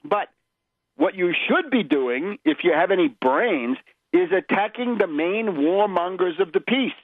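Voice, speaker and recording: A man with a somewhat high-pitched voice delivers political commentary as a radio host. He is emphatic and sardonic, pressing each point insistently. The sound is dry and a little dull, like lo-fi radio audio. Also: lively intonation, a little slow, dry recording. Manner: sardonic, scornful. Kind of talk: political commentary monologue, polemic.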